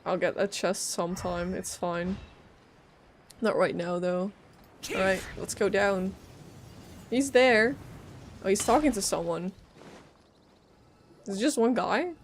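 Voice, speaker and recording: A woman's voice: medium pitch, slow, pauses often, a little hiss or hum. A woman livestreaming a game, casually commenting, joking to herself and reading dialogue aloud in a playful way. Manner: playful, joking, muttering to self.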